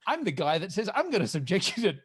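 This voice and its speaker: A man co-hosting a podcast in casual discussion, explaining things in a halting, qualifying way. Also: high-pitched, big pitch swings, a bit bright, husky. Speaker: podcast co-host.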